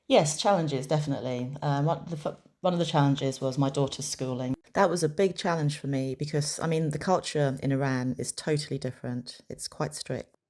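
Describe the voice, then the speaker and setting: Woman w/ a really deep low voice, interpreting answers in first person, slow careful bits, lots of ums and restarts. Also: a little mellow. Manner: measured, with frequent pauses and restarts.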